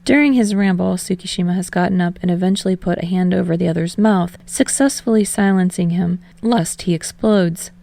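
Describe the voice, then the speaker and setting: Casting: female audiobook narrator, slightly low register with plenty of vocal fry, reading prose fiction. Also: fairly quick, hardly any pauses, dark tone, no echo.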